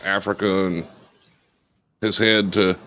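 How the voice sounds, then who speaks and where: Big deep-voiced guy cracking jokes on a radio show, just hanging out. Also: flat delivery, slow, fairly bright tone, smooth. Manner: joking, teasing, dry. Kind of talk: casual banter.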